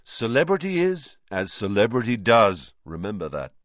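A man's voice: on the low side, sing-song, normal speed, a bit bright, no room sound, dull audio. A man voicing a vain, jolly celebrity wizard with a pompous, effusive, theatrical manner in a storybook reading. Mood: beaming, self-satisfied.

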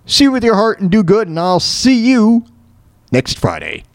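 A man hosting a show, talking casually and informally in a halting, repetitive, rambling way. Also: high-pitched, huge pitch swings, no room sound, clean studio sound. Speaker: show host.

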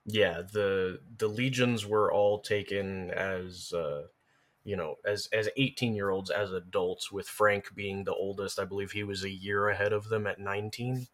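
A man with a somewhat low voice talks as a guest in an informal discussion. He is measured and thoughtful, often qualifying what he says. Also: steady tone, fairly bright tone. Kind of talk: casual discussion.

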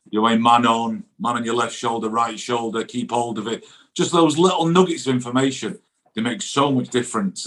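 A middle-aged man from a football background talks. He is a chatty ex-goalkeeper pundit, colloquial and informal, with a voice of ordinary male pitch. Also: fairly bright tone. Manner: chatty, colloquial, often adds "you know what I mean". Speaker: former professional goalkeeper, guest pundit. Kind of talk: casual football chat.

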